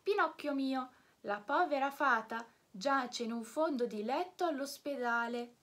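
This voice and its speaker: A woman voicing a slow, phlegmatic female snail who once served a fairy, with a breathy voice and a calm, unhurried manner. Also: sits high, voice pushed up. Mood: somber. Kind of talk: storybook reading.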